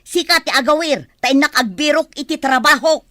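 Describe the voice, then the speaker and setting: A woman's voice: sits high, normal speed, sharp tone, dry recording, clean studio sound. A woman with an Ilocano accent playing a defensive, nagging village wife and mother in a radio comedy-drama. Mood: combative, scolding.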